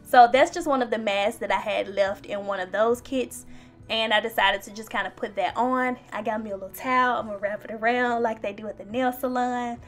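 A chatty, playful young woman with a slightly high voice narrates her own vlog and talks straight to her viewers. Her voice-over sits over faint background music. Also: fairly even intonation, a little fast. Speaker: beauty vlogger.

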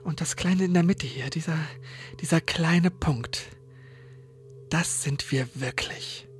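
An actor playing an unhinged, brooding male survival contestant in a horror audio drama, with a halting, whispery, fluttering voice. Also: a little high, faint music behind. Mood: brooding, eerie calm.

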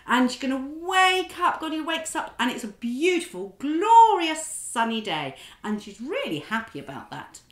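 A middle-aged woman leading a children's drama class and telling a story in an animated, expressive, encouraging way. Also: high-pitched, moderate pace, few pauses, roomy sound.

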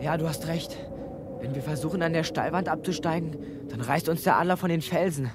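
A man's voice: on the high side, brisk, natural voice. A man playing a brave teenage boy climber in a children's radio play. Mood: tense. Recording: clear background noise.

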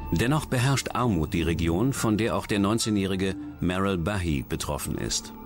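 A man's voice: low-pitched, animated, normal speed. A man reading scripted true-crime documentary narration as the narrator. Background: background music.

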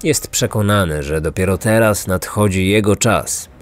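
A man's voice: low-pitched, normal speed. Male true-crime narrator over music. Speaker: podcast narrator. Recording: music underneath.

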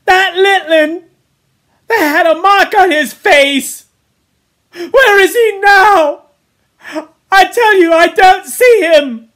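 A woman's voice: slow pace, long pauses, fairly bright tone, heavily acted. A woman voicing an indignant, panicky young schoolboy who whines and scolds in ungrammatical English for a storybook reading. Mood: frantic distress. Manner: ungrammatical, shrill protesting tirade.

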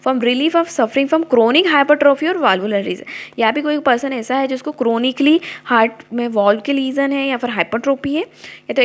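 High-voiced woman lecturing on medicine. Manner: explains each line, often checks with "ठीक है". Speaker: homeopathy lecturer. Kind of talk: explanatory lecture over annotated book pages.

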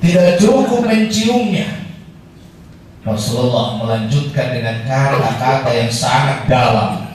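Indonesian male preacher in a very echoey hall. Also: on the high side. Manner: measured, emphatic oratory. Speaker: preacher giving a Friday sermon. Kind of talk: formal sermon with Arabic recitation. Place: indoor mosque prayer hall. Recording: very echoey hall, distant, faint noise.

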